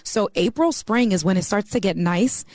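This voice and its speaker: A woman's voice: mid-range voice, normal speed, darkish tone, no room sound. Chatty woman with a dry, close sound. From East Coast of the US. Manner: chatty, many fillers like "you know". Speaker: podcast guest, mortgage banker. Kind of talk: casual podcast conversation.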